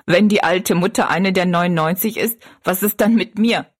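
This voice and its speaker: A woman's voice: lowish voice, moderate pace, husky. A woman voicing a half-amused, curious man in a storybook, with a casual, questioning manner.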